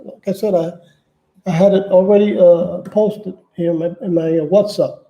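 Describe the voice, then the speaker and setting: A man from New York with a high-pitched voice that still sounds very dark and mellow. He talks off the cuff to his viewers, stumbling and repeating words as he searches for his next phrase. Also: fairly even intonation, moderate pace, dull audio. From Manhattan, New York, United States. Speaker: channel host appealing for donations. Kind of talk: casual unscripted livestream talk.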